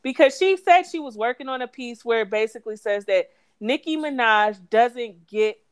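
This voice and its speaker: A woman's voice: on the high side, animated, moderate pace, clear, resonant tone, no echo. Blunt, profane woman in her thirties. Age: 30s. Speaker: podcast co-host. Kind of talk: casual chat.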